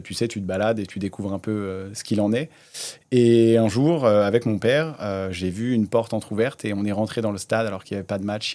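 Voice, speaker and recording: A man in his thirties, a startup founder, telling his story in a relaxed way with many hesitations in a casual interview. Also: lowish voice. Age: 30s.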